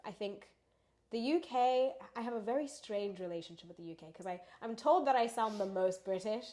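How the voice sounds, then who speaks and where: A woman's voice: medium pitch, brisk. A young woman from South Africa with a South African accent, chatting as an interview guest with lots of filler words. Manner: chatty, many "like" fillers, lively and animated. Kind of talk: casual interview chat.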